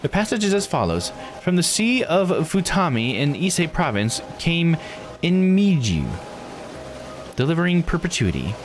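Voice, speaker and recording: Man putting on a high, polite, enthusiastic guide. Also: sing-song, a little slow, a little hiss or hum. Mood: informative, earnest. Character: bright, courteous local guide reciting lore. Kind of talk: visual novel character read aloud. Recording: game music under the voice.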